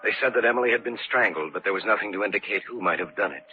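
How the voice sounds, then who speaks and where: A man acting as a haunted, uneasy narrator of a radio murder mystery, telling it slowly and deliberately with suspense. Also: lowish voice, noisy background, close to an everyday voice. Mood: grave. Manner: slow, deliberate, suspenseful storytelling. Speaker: radio drama actor and narrator. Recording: muffled old radio recording with music.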